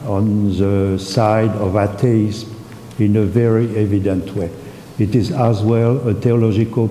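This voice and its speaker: A man with a very dark, low-set voice speaks slowly and haltingly, restarting his sentences and filling gaps with "uh." He comments as a panelist. His voice echoes around a large hall, with a faint hiss underneath. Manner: halting, heavy with fillers and restarts. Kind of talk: hesitant spontaneous remarks. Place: conference hall.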